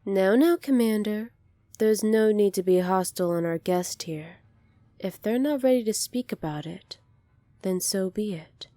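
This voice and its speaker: A woman playing a poised, kindly young alien princess in an ASMR roleplay, polite, formal and measured, soft-spoken with breathy moments. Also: medium pitch, dry recording, lightly acted. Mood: stern, authoritative.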